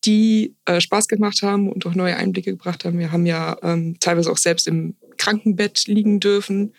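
Gravelly-edged woman in her thirties, chatting. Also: on the low side, fairly even intonation, moderate pace, no echo, studio quality. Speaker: podcast guest, hospice volunteer. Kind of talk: casual conversational interview answers.

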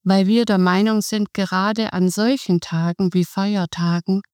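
A middle-aged woman, a guest on a podcast, calmly explaining her volunteer work in measured sentences. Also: medium pitch, smooth, dry recording, studio quality. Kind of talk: podcast interview.

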